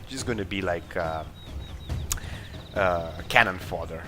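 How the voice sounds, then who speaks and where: Low-voiced man commentating a video game. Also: unhurried, background noise. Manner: hesitant, thinking aloud while deciding moves. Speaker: let's play host. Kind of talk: casual improvised gameplay commentary. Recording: game music under commentary. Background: video game music and battle sounds.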